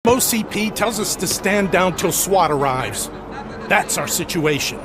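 A man's voice: high-pitched, expressive, a little slow. An actor playing an anxious rank-and-file male police officer in a video game. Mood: grim, frustrated.

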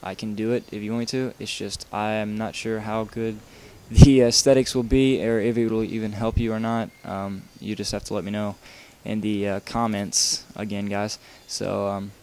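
Young man, lowish voice, casual rambling tutorial voice-over, lots of ums and uhs, laid-back. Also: flat delivery, normal speed, hardly any pauses, a little mellow, clear, resonant tone. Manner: casual, rambling, many fillers and restarts. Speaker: video game tutorial maker.

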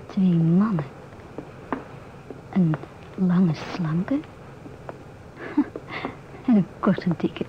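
Muffled old radio recording, young woman stewardess, musing, hushed, slow delivery. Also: on the low side, background noise. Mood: wary whisper. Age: 20s. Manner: hushed, musing, often near-whispered. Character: musing, independent 27-year-old divorced ship stewardess. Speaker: narrator and stewardess. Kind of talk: radio drama monologue.

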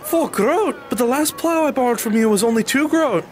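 A man's voice: extremely high pitch, lively intonation, normal speed, a little hiss or hum. A man playing an earnest, humble medieval peasant farmer in an audio drama, with a pleading, hesitant manner. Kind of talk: audio drama acting and narration.

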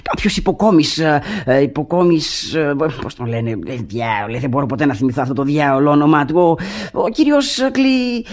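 An actor playing a naive, chatty servant go-between in a radio comedy, husky and secretive, with halting speech. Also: male voice, a little high, big pitch swings. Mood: confiding, pleased. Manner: halting, secretive, chuckling simpleton. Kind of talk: Greek radio theatre acting of classic comedy.

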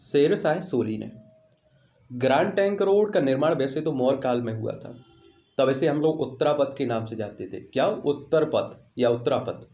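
A man's voice: medium pitch, moderate pace, mellow, roomy sound. An Indian man teaching an online exam lesson in question-and-answer style, repeating questions and answers in an instructional way.

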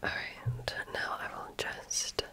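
A woman whispering softly and breathily in an ASMR medical exam role-play, speaking gently and attentively like an examiner. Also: takes it slowly.

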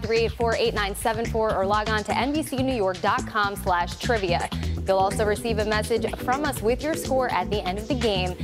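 An American woman in her thirties hosting a live TV trivia game in a chatty, emphatic way with personal asides. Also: medium pitch. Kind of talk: live TV quiz hosting, partly scripted. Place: TV news studio. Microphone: broadcast studio microphone. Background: clear music bed.

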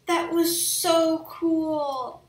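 A young woman giving casual, slangy let's play commentary on a video game in an excitable, gushing way. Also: extremely high pitch, drawn-out words, roomy sound, far from the mic. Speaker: video game streamer and channel host.

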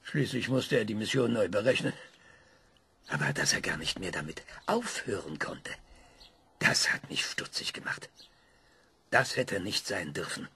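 A gravelly, scornful scientist. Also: male voice, lowish voice, very animated, a little slow, long pauses, exaggerated voice. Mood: brooding. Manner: lecturing, dramatic emphasis with sudden hushed whispers. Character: proud male scientist, sharp and scornful. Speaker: scientist being interviewed. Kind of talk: radio play acting.

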